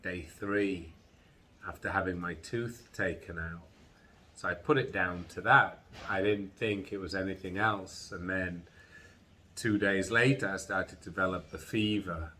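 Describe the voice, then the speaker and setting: Slow, tired, congested man. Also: deep voice, sharp tone, slight background noise. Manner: slow, halting, tired recounting. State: congested, groggy chest, sore throat. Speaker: vlogger. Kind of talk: personal health vlog.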